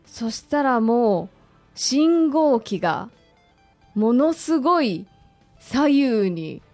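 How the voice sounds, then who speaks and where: A woman's voice: on the high side, very animated, very slow, a little mellow, no room sound, faint music behind. A woman talking conversationally as a radio guest, politely and expressively sharing personal recollections.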